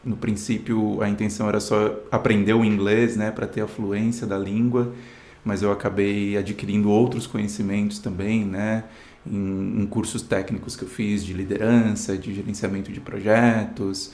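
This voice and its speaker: A low-pitched Brazilian man in his thirties speaks in an earnest, storytelling manner, peppered with "né". His voice carries a noticeable room echo. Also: level tone, normal speed, a little mellow. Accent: Brazilian Portuguese. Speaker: guest. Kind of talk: casual interview chat.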